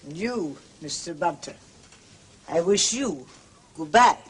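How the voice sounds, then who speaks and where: A nosy prim landlady in a period mystery, husky, huffy, keeps insisting she wasn't at fault. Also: lowish voice, lively intonation, slow pace, exaggerated voice, poor audio. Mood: stiffly polite. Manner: emphatic, self-justifying, repetitive. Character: indignant, prim, gossipy landlady, defensive about prying. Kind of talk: period mystery drama dialogue.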